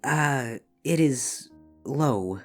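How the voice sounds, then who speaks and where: A shy, melancholy pony princess, played by a woman in a storybook reading. The voice sits low for a woman and speaks haltingly, awkward and reserved. Gentle music runs underneath. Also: expressive, exaggerated voice. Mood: flustered. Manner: hesitant, halting. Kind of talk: scripted story dialogue. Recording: music bed behind the voice.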